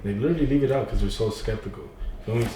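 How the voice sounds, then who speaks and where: A man's voice: very fast, warm tone, recorded from a distance. A young man on a podcast, chatting casually in slang and acting out quotes with big jumps in his voice. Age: young adult. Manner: slangy, full of "you feel me", acts out quotes with big pitch jumps. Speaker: podcast guest. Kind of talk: casual chat. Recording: roomy, off-mic with faint noise.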